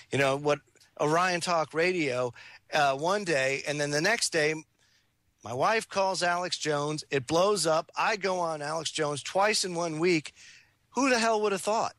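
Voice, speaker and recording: Chummy talk radio host, bright voice. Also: male voice, fairly high pitch, moderate pace, no echo. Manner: casual, chummy banter.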